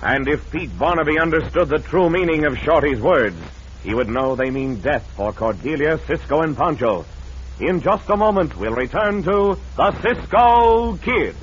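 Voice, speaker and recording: A man's voice: on the high side, animated, moderate pace, loud music behind the voice. An American man narrating a radio serial in a theatrical, formal announcer style. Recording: muffled vintage radio recording.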